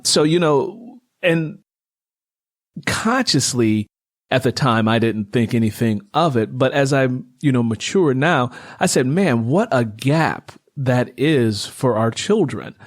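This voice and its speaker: A man in his fifties hosting a conversational interview, reflective and halting as he works out his phrasing. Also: medium pitch, dry recording, studio quality. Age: 50s. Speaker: interview host.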